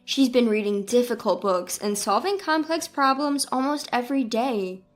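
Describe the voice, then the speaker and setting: A woman voicing a devoted, gentle grandmother who speaks in a patient, reassuring way in a narrated family story. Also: a little high, expressive, moderate pace, soft background music, close to an everyday voice. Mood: proud.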